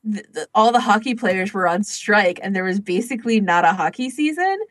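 Casting: woman podcast host, casual and chatty, filler-heavy phrasing, mid-pitched, dry recording. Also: lively intonation, brisk, no echo. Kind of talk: casual chat among fan podcasters.

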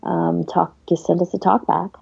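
A woman's voice: on the low side, flat delivery, normal speed, gravelly, darkish tone, slightly rough. A woman hosting a podcast in a casual, chatty style, on a dull, muffled recording. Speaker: podcast host. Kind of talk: casual chat.

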